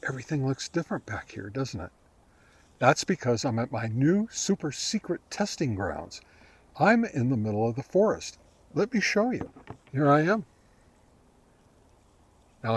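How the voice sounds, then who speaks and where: A man narrating a casual product test as a review host, talking step by step in a halting way. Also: medium pitch, breathy edge. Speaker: host of a ham radio gear review channel.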